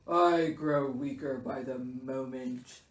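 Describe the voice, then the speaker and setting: A woman voicing a grumpy, self-pitying male bear who is ill, gruff and pompously melodramatic, in a children's storybook. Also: extremely low pitch, steady tone, a little hiss or hum, voice pushed down. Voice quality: gruff, growly. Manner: loud, pompous, melodramatic, drawn-out. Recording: roomy echo.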